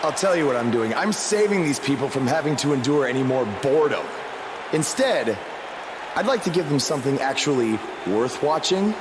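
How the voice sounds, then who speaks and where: A man's voice: mid-range voice, big pitch swings, moderate pace, natural voice. A man playing a confident, defiant newcomer wrestler with a taunting way of talking in game cutscenes. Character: cocky, defiant young male newcomer wrestler. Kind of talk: acted game cutscene dialogue. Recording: clear background noise.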